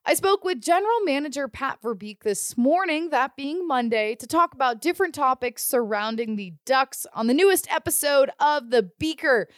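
A woman's voice: sits high, animated, normal speed, few pauses, dry recording. A woman hosting a sports podcast from a studio, speaking as an interviewer and presenter. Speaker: podcast host.

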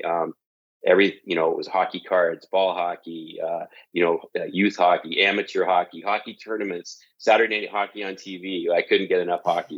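A Canadian man with a very low voice chats easily about his career, with plenty of ums and uhs. The sound is a little dull and lo-fi, like a remote call from home. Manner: relaxed storytelling with frequent fillers. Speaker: guest, TV sports director. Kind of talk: casual interview talk.

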